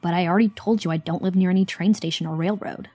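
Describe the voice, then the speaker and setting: A woman's voice: on the low side, fairly even intonation, fast, dark tone, no room sound. An actress playing an exasperated young woman scolding a friend in an audio drama, sarcastic and protective.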